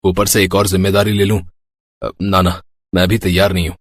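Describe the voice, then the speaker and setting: A man plays the grown son of a poor household in a moral cartoon tale. His voice is on the low side. The sound is very dry, with no room around it. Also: flat delivery, brisk, no room sound. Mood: earnest. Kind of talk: Hindi moral story cartoon acting.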